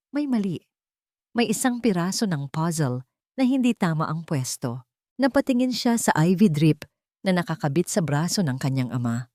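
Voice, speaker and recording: Female narrator, Filipino accent, slightly low register, dry close sound, dramatic scripted storytelling. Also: mellow, no echo.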